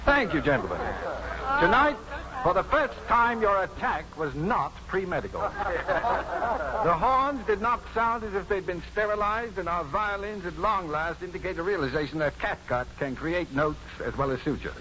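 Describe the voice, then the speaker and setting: Urbane, witty doctor, old radio. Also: male voice, sits high, lively intonation, moderate pace. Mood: teasing. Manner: urbane, dryly witty, authoritative. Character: witty, self-assured doctor running his own clinic. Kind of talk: 1950s radio drama acting. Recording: muffled, noisy 1950s radio recording.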